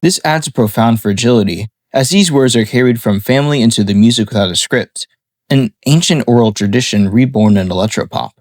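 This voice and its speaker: A man reading a scripted analysis of a song in a measured, explanatory way. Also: mid-range voice, a little mellow. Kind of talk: scripted narration.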